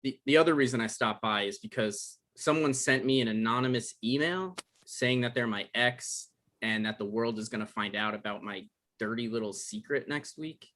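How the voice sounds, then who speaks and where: A man's voice: mid-range voice, moderate pace, fairly bright tone, close to an everyday voice. An actor playing an awkward, evasive novelist in his thirties, stammering through naturalistic comedic dialogue in a table read. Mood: sheepish. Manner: hesitant, stammering. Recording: online live-stream table read.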